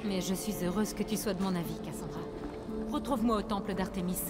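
A woman's voice: medium pitch, quick pace, close to an everyday voice. A woman voicing a proud, shrewd rebel leader in a video game, with an earnest, firm and persuasive delivery. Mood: warmer. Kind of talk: video game voice acting in French. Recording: game dialogue over loud music.

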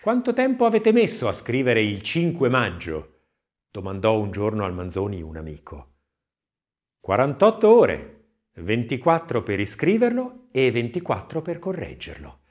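A man reads literature as a narrator, in a declamatory, theatrical manner that moves between swelling and hushed phrases. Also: mid-range voice, wildly swooping pitch, normal speed. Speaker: audiobook narrator. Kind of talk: dramatic literary reading of poetry and scripted narration.

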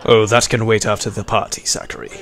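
A man voicing a genial, wealthy mansion host and factory owner who brushes off trouble with a smooth, hospitable manner. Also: on the low side, level tone, quick pace, fairly bright tone, natural voice. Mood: breezy. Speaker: party host.

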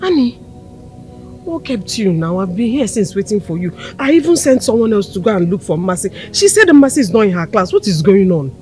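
A woman's voice: medium pitch, big pitch swings, fairly quick, a little mellow, soft background music, lightly acted. An actress playing a frantic mother pleading for her missing daughter, crying out to God. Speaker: worried mother. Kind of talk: film drama acting.

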